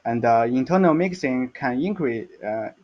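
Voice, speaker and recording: A man giving a technical slide presentation as a research scientist, halting and hesitant, with frequent stumbles and repeats. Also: medium pitch, lively intonation, lo-fi sound.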